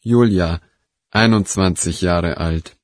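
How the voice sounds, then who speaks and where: Slow, deliberate male announcer. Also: sits low, dry recording, studio quality. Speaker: narrator of a listening exercise.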